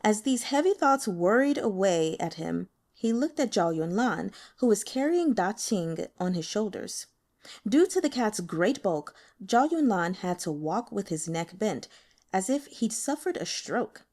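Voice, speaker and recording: A woman narrating a novel as an audiobook reader, in an expressive scripted style. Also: mid-range voice, a little slow.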